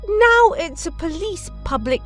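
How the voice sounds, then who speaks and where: High, lively granddaughter, acted by a woman. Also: a little slow, soft background music, exaggerated voice. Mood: curious. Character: inquisitive young granddaughter travelling through time. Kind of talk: audio drama acting.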